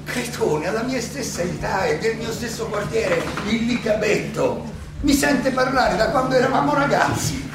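A man acting an older, proud and ironic philosopher defending himself at trial in a halting, rhetorical stage monologue. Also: very high voice. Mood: earnest, recalling. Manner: halting, rhetorical questioning with dramatic pauses and emphatic swells. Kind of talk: theatrical stage monologue, courtroom oration. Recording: very echoey distant stage recording, noisy.

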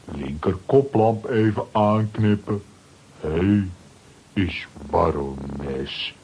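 A man's voice: low voice, animated, drawn-out words, darkish tone, husky, exaggerated voice. An actor playing a simple, unflappable strongman butler who speaks in clipped phrases in a children's audio drama. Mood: calm, puzzled. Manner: clipped telegram-style phrases.

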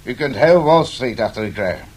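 An actor playing a secretive, persuasive financial envoy in a radio drama, speaking in a formal, deliberate way. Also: male voice, medium pitch, lively intonation, slightly rough.

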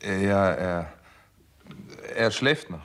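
Deep-voiced, sober doctor. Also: male voice, lively intonation, slow pace. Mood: calm, guarded. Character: sober, wry male doctor, narrator of a detective story. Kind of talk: 1960s German radio drama acting and first-person narration.

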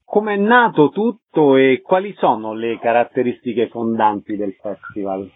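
Radio host guy, mid pitched, asks short questions and does intros, dry narrow-band sound. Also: animated, a little slow, dry recording.

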